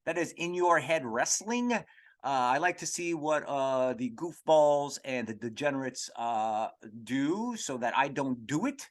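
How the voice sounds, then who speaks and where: A man hosting a comedy podcast, rambling through an irreverent, profane monologue in a halting, digressive way. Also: medium pitch, expressive. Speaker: podcast host.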